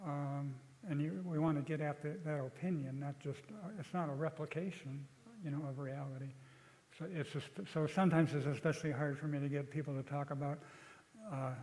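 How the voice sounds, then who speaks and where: A man's voice: fairly high pitch, a little mellow. Older man lecturing in a reverberant hall. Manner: thoughtful, halting, many restarts.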